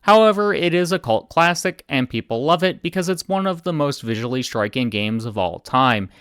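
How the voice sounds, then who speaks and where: A man giving scripted video game commentary in a deadpan voice. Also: a little high, moderate pace, clean tone, no room sound, studio quality.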